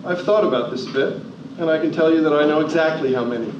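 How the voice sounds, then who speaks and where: Man from new jersey, motivational speaker, emphatic, rhetorical questions, higher voice, echoey distant hall, dull noisy recording. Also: quick pace. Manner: emphatic, rhetorical questions, varies pace for effect. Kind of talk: motivational talk to an audience. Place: large hall.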